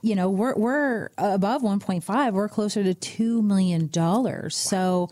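A middle-aged woman co-hosting a casual financial talk show, animated and quick with exclamations. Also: mid-range voice. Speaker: podcast co-host, financial advisor. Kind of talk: casual radio chat.

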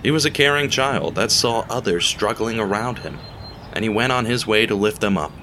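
A man narrating an audio drama in a deliberate, theatrical storytelling style, speaking straight to the listener. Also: on the low side, lively intonation, bright, forceful tone, slight background noise.

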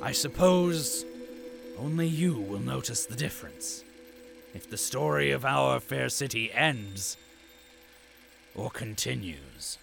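An actor playing a pompous, embittered company loyalist delivering a grandiose audio drama monologue. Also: male voice, mid-range voice, lively intonation, slow pace, gravelly, long pauses, a bit bright, soft background music, heavily acted. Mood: solemn, mournful. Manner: pompous, oratorical.

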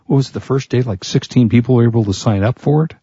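A man's voice: mid-range voice, fast, dark tone, breathy edge, dry recording, lo-fi sound. A man co-hosting a casual tech show and losing his voice, chatting through product picks and tech news. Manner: chatty, offers product rundowns and opinions.

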